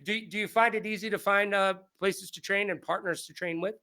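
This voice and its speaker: A man's voice: sits high, steady tone, brisk, bright, forceful tone. A man hosting a casual sports interview, chatting in repetitive bursts. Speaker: sports interview show host.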